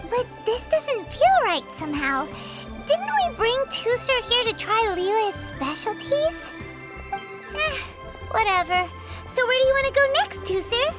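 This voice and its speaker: A woman voicing a tiny, childlike, chatty fairy guide in a video game, with a squeaky, excitable way of talking. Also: unhurried, heavily acted. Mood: perky. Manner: excitable, speaks of self in third person. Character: tiny childlike female fairy guide companion, chirpy, chatty, food-loving. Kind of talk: animated video game voice acting. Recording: background music under voice.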